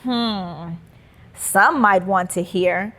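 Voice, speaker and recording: A woman reading a children's storybook aloud as an expressive, deliberate narrator. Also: mid-range voice. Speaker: children's book reader and host.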